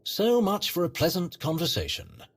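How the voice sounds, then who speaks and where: A man's voice: sits high, big pitch swings, normal speed. A man playing a haughty Sith sorcerer in a video game, speaking in a wry, sardonic and formal manner. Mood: wry, dry. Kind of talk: scripted video game acting.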